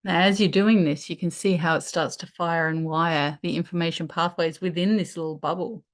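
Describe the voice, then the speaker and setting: A woman leading a guided meditation, speaking in a soothing, unhurried way with pauses between phrases. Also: lowish voice, steady tone.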